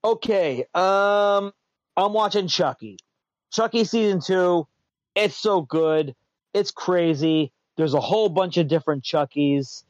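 Rambling man, slightly high voice. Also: slow pace, dry recording. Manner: rambling, repeats and restarts words, chuckles often. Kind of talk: casual pop-culture chat. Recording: dull lo-fi dry sound.

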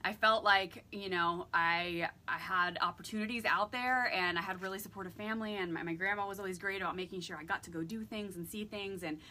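A woman's voice: mid-range voice, a little fast, hardly any pauses, pushed and bright, slight background noise. A woman in her thirties, a guest in a casual interview, telling her story earnestly. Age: 30s.

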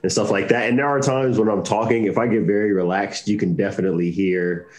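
A man from Southern California with a light Southern California accent, co-hosting a podcast in a relaxed, earnest way. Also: fairly low pitch, quick pace. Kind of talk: casual podcast chat.

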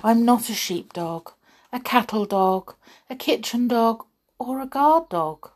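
A woman's voice: on the high side, expressive, slow pace, a little mellow, voice pushed up. A woman voicing a plucky, forlorn male performing dog in a storybook, speaking in an earnest, theatrical way. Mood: weary, questioning.

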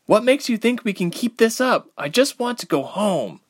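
An anxious young woman acted out by a man in an audiobook story, lifted in pitch. She is reluctant and homesick, speaking in a plaintive, sighing way with lively intonation. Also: moderate pace. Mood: upset, defiant. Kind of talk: audiobook character voice.

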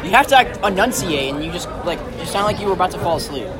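A teenage boy with a high voice rambles through a story, full of "like" and restarts. A loud crowd fills the background outdoors. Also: expressive, rapid-fire. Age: teens. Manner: rambling, filler-heavy storytelling. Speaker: student. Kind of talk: casual group banter.

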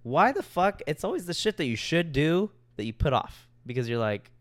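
A man's voice: fairly high pitch, sing-song, normal speed, dry recording, clean studio sound. A young man chatting casually as a podcast co-host, talking informally and swearing freely. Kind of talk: casual chat.

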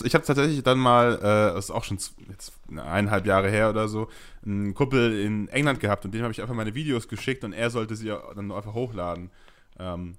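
Guy cohosting a podcast, talks fast, slangy, keeps restarting. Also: fairly low pitch, fairly bright tone. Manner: quick, slangy, restarts often. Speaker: podcast co-host. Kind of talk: casual podcast chat.

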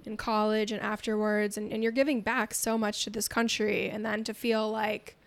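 A woman speaking thoughtfully as a podcast guest, with many soft fillers and restarts. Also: medium pitch, flat delivery, moderate pace. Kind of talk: casual chat.

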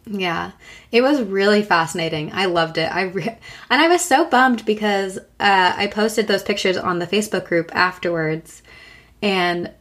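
A woman co-hosting a podcast in casual chat, hoarse from a long cough and talking with many fillers and restarts. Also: medium pitch, moderate pace, fairly bright tone. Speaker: podcast co-host.

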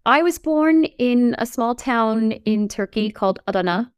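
A woman professor in her forties, raised in the United States, speaking in an articulate, measured way with emphasis in an interview. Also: a little high, animated, clear, resonant tone, no echo. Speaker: English professor. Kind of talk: reflective interview talk.

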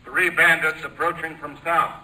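A man's voice: on the high side, level tone, moderate pace, a crowd in the background, natural voice. A man playing a clipped, businesslike fighter pilot calling in, in a 1940s radio drama, sounding distant amid clamor. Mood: terse.

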